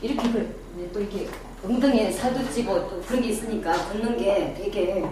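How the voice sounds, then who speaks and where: A woman's voice: mid-range voice, normal speed. A middle-aged woman with a Daegu Gyeongsang accent gives a casual classroom lecture on personal image. She quizzes her audience and adds self-deprecating asides. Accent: Daegu Gyeongsang dialect. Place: lecture room with a small audience. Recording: very echoey room, distant mic, background noise.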